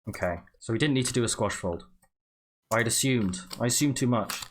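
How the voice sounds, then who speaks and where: A man's voice: mid-range voice, fairly quick. A man hosting a charity livestream, chatting casually and muttering to himself as he follows craft instructions. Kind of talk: casual chat while folding origami and reading instructions. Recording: clear background noise.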